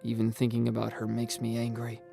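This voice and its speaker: A man's voice: medium pitch, monotone, fairly quick, vocal fry, dark tone. A man reading a scripted first-person story as its narrator. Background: background music.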